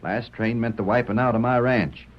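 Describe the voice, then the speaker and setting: An actor playing an impatient, restless American rancher with exasperated folksy talk in a radio Western. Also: male voice, mid-range voice, fairly even intonation, moderate pace, lightly acted. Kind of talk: old-time radio Western acting. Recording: dull old radio recording.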